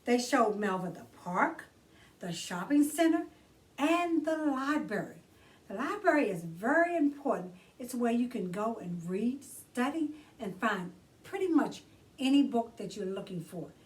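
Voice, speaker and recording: A woman's voice: on the high side, very animated, unhurried, recorded from a distance. A woman hosting a children's storytime, reading a storybook aloud expressively and addressing young listeners directly in a theatrical way.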